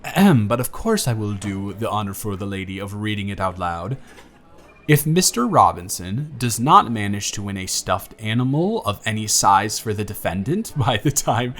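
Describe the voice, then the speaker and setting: Man acting a giddy, animated blind date guy. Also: lowish voice, moderate pace. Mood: teasing. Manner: animated, bantering, playful storytelling with stammers. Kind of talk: scripted romantic audio roleplay, one-sided dialogue to the listener.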